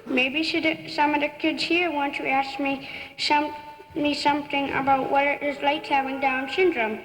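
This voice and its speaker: A woman voicing a friendly, encouraging young girl puppet in a live show for children, speaking gently and reassuringly. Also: high voice, little pitch movement, moderate pace. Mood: kindly. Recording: echoey hall, taped from afar.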